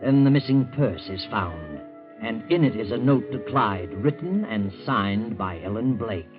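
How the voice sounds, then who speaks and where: An American actor playing a sardonic, mysterious storyteller, narrating a 1940s radio mystery in a deliberate, theatrical way. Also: male voice, on the low side, lively intonation. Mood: sardonic, ominous. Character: sardonic mysterious male storyteller of crime tales. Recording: muffled old radio broadcast, music behind.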